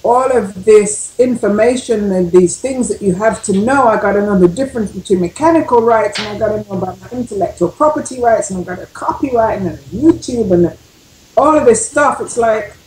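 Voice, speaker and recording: A woman's voice: medium pitch, fairly quick, darkish tone, a little hiss or hum. A woman with a legal background, talking casually as a panelist in a discussion. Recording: roomy, echoing sound.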